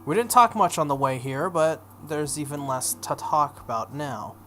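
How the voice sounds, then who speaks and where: A man's voice: fairly high pitch, animated, normal speed, a bit bright, soft background music. A man reading a visual novel aloud as narration, stumbling over the text now and then and dropping into casual asides. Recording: noticeable background noise.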